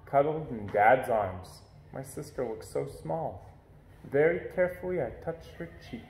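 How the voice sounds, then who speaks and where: A man reading a children's picture book aloud in an expressive storytelling style. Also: mid-range voice, measured pace, echoey room, a little hiss or hum.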